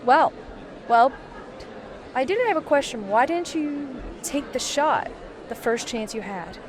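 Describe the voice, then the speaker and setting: A woman acts out a businesslike agent handler in an audio drama. Her voice is fairly high and unhurried, curious and conversational, with faint crowd chatter behind her. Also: animated. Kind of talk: amateur audio drama acting.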